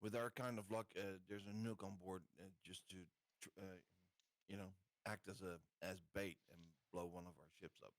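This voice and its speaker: Wry, lowish man, dry sound. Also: steady tone, moderate pace, no room sound. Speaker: tabletop game player. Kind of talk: casual joking game chat.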